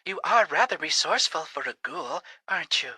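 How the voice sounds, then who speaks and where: Vintage radio serial heroine: plucky, coy, flirtatious, sharp bright tone, dry studio sound. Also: female voice, sits low, animated, a little fast, husky, no echo, exaggerated voice. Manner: coy, wry, theatrical. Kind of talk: 1940s radio adventure serial acting.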